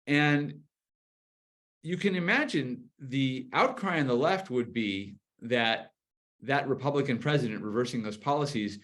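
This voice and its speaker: A man's voice: medium pitch, a bit bright. A man giving conversational political commentary as a guest speaker, articulate and explanatory, arguing point by point.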